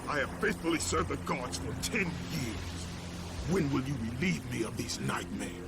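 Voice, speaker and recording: An actor playing a vengeful, tormented Greek warrior in a video game, gravelly and intense. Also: male voice, mid-range voice, lively intonation, moderate pace, very noisy. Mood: anguished, demanding. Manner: intense, brooding, forceful declarations. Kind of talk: dramatic video game acting. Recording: loud music over the voice.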